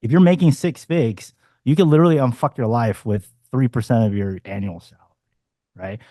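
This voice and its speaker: A man's voice: medium pitch, a little fast, mellow, no echo. A man in his forties, a dating coach talking bluntly and casually on a panel, swearing freely and throwing in punchy asides. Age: 40s.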